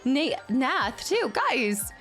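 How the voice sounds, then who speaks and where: A woman's voice: high voice, very slow, soft background music. A young adult woman streaming, chatting casually with her viewers and reading their names and gifts aloud. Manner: animated, chatty, reads chat names aloud. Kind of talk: casual chat with live chat.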